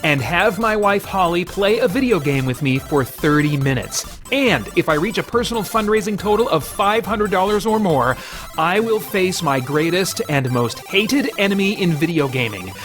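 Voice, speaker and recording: A man's voice: high-pitched, animated, moderate pace, a bit bright, soft background music. A middle-aged American man, an earnest and conversational channel host, speaking directly to his viewers. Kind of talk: video commentary to camera, part casual musing, part fundraising pitch.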